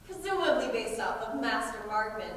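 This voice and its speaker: A woman's voice: a little high, animated, moderate pace, fairly bright tone. A teenage girl playing a wry, indignant storyteller in a school stage play, theatrical in delivery. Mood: wry scorn. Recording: distant, echoey stage recording with noise.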